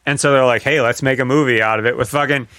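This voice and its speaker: A man co-hosting a casual podcast. His mid-range voice is bright and forceful, recorded very dry. He banters bluntly and swears freely. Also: mid-range voice, fairly even intonation, fast, no echo. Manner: blunt, profane. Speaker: podcast co-host.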